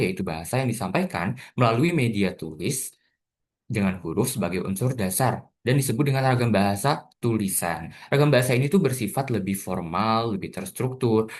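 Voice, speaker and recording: A young man presenting in an online class, explaining terms to his classmates. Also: on the low side. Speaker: student presenter.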